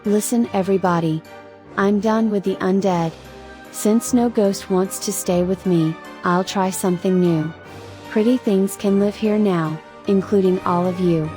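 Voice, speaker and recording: A high-pitched, plaintive little storybook cottage girl, acted out by a man. She is stubborn and dramatic, longing to be spooky. Faint music plays behind her. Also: steady tone, a little slow, dark tone. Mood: resolved. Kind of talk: children's storybook read aloud in character.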